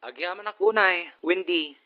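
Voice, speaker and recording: A man's voice: high-pitched, normal speed, natural voice. A man plays a brother-in-law heard over the phone. The sound is dull and band-limited, the delivery is radio-drama acting, and the accent is Ilocano. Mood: grateful. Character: curt adult brother-in-law on the telephone.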